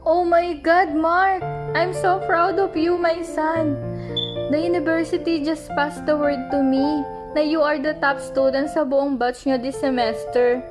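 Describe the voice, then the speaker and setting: Woman playing a high-voiced, proud Filipino mother. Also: level tone, a little slow. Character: proud, probing, tender mother of a grown student. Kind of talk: amateur Filipino radio drama acting. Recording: music playing behind the voice.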